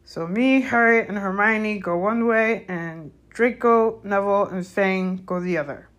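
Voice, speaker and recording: Woman putting on a big gruff gamekeeper, pitched up. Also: a little slow, a bit bright, natural voice. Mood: commanding. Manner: rustic, colloquial, commanding. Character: big gruff male gamekeeper, fierce yet caring. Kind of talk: audiobook character voice.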